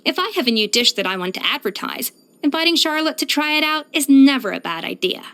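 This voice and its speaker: An actress voicing a proud, exacting young pastry chef in a video game, poised, self-assured and precise in manner. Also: female voice, high voice, sing-song, brisk, fairly bright tone, exaggerated voice. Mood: poised, approving. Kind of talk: scripted video game character voice lines.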